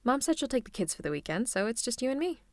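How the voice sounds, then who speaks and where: A woman's voice: fairly high pitch, sing-song, fast talker, no echo. A woman playing a playful female supervillain, affectionate and teasing with theatrical menace, in an ASMR roleplay. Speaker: voice actor. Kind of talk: ASMR roleplay acting, one-sided conversation.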